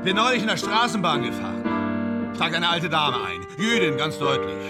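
An actor in a radio drama playing a vain, guilt-ridden, drunken film actor who rambles and justifies himself theatrically. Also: male voice, on the high side, sing-song, normal speed, loud music behind the voice. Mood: excited, amused. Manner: theatrical, rambling, self-pitying, swinging from declaiming to muttering. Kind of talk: German radio drama acting.

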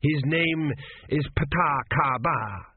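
A man's voice: on the high side, drawn-out words, breathy edge, pitched above the performer's own voice, heavily acted. A man voicing an earnest, flustered young king with a raised, eager tone. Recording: tinny narrow-band audio.

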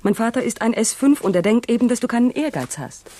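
Mellow, reproachful young woman played by an actress. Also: a little high, lively intonation, fast, lightly acted. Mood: resigned, earnest. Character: reproachful, practical young woman, programmer's frustrated fiancée. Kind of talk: 1960s German radio drama acting.

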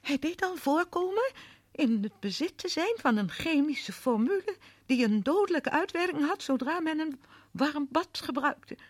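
A woman's voice: high-pitched, expressive, moderate pace, no echo. An actress playing a volatile, guilt-haunted woman killer in a radio drama, given to muttering and stammering. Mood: reading aloud. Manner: reads aloud, muttering bitter asides, breaking into anguished stammering. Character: distraught woman killer, scornful, then horrified by guilt.

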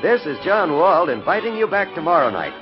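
A male radio announcer with a lively, swinging delivery in 1950s scripted style. Music plays behind him on a muffled vintage broadcast. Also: a little high, brisk.

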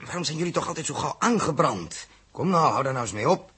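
Husky, harried spaceship captain. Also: male voice, fairly high pitch, animated, fast, sharp tone, exaggerated voice. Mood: weary, measured. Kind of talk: 1960s Dutch radio drama acting.

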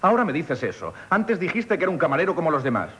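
A man's voice: fairly high pitch, big pitch swings, quick pace, fairly bright tone, breathy edge, exaggerated voice. A man playing a jealous, sulky waiter in a radio comedy, plain-spoken and aggrieved, with a Castilian Spanish accent. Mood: jealous, hurt. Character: jealous, sulky waiter in love with his mistress. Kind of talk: theatrical radio comedy acting.

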